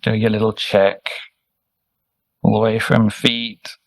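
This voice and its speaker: A man leading a guided meditation as a teacher, speaking gently and soothingly in short, unhurried instructions with pauses. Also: fairly low pitch, flat delivery, vocal fry, no room sound. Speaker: meditation teacher, Buddhist monk.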